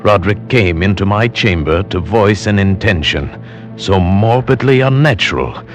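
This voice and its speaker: An actor playing a grave, anxious gentleman friend who recounts gothic horror in solemn, portentous classic radio drama style. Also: deep voice, expressive, moderate pace. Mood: solemn foreboding. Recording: vintage radio drama with music underneath. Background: music.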